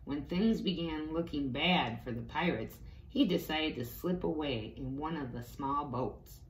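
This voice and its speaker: Female story-time reader: slow and expressive, talking to young children, roomy sound with faint noise. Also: low-pitched. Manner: expressive, explains pictures to young listeners. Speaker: teaching assistant reading a storybook to children. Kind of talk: read-aloud story time with asides to children. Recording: echoey room with faint background noise.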